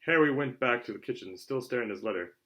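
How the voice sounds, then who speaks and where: A man narrating a children's novel aloud in an expressive storytelling style. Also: mid-range voice, quick pace. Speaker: audiobook reader.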